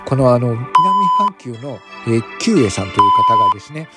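Male podcast host, polite and formal wording in casual announcements, animated pitch movement, prominent background music. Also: a little fast. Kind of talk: casual announcement talk to listeners.

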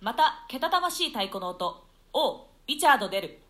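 A woman's voice: high-pitched, very animated, normal speed, a bit bright. A woman reading stage directions as narrator of a classical play, in a dramatic scripted style.

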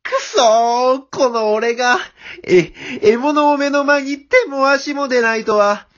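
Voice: sits high, slow pace, fairly bright tone. Radio drama wolf villain, played by an amateur: male, blustering, exasperated, easily bullied; slightly muffled lo-fi sound. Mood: beaten, whining. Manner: blustering, whiny, exasperated retorts. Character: hapless male wolf, blustering villain turned put-upon porter.

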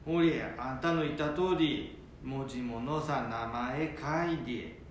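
A man's voice: slow pace, natural voice. An actor playing a blunt old grandfather with a Nanbu dialect from northern Japan in an amateur radio drama. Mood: hearty, polite. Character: blunt, sturdy old Japanese grandfather worried for his son. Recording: very echoey room, faint rumbling noise.

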